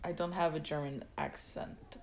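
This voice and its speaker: A woman co-hosting a podcast, casually bantering and giving flat denials. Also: deep voice, normal speed. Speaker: podcast co-host. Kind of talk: casual joking chat.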